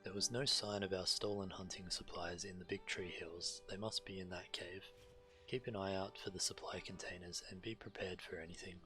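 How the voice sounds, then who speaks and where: A man's voice: sits low, fairly even intonation, moderate pace, bright, forceful tone, soft background music. A man streaming a game, casually commenting and reading quest text aloud.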